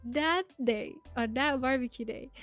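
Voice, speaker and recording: A woman's voice: sits high, measured pace, dry recording, soft background music. A woman in her twenties, a podcast guest chatting casually, telling rambling stories with lots of fillers and giggles. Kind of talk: casual interview chat.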